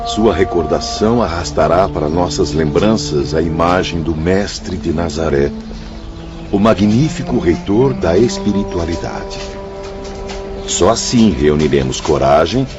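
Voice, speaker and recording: A devout, reassuring spirit in a Brazilian radio play. The voice is very deep and the delivery solemn and formal. Music is clearly heard behind the slightly dull audio. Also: male voice. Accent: Brazilian Portuguese. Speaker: radio drama actor. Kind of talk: Brazilian radio drama acting.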